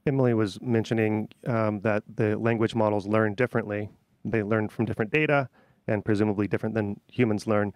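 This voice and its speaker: A man's voice: on the low side, steady tone, a little fast, dry recording, studio quality. Male podcast host asking casual questions. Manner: casual, probing questions, restarts. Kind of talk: conversational podcast interview.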